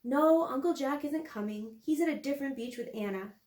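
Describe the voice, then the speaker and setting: A woman's voice: high-pitched, fairly quick, darkish tone, roomy sound, close to an everyday voice. A woman voicing an earnest, imaginative young girl who bossily plays at being grown-up in a storybook reading. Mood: bossy, motherly. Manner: earnest, insistent, playing grown-up. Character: young girl Ella, bossy, earnest, imaginative little sister.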